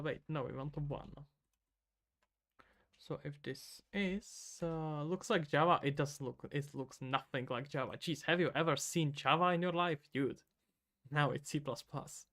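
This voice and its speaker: Czech man with a gravelly voice coding live. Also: on the high side, a bit bright. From Czech Republic. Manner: casual, mutters to himself while working, loose asides to chat. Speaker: indie game developer streaming. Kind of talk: live coding stream, thinking aloud and answering chat.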